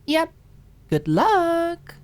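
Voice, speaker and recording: A woman's voice: extremely high pitch, wildly swooping pitch, extremely slow, a little mellow, voice pushed up, full character voice. A woman voicing a bossy, knowing young woman in an audiobook drama, with a firm, teasing, no-nonsense manner. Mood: brisk, resolute.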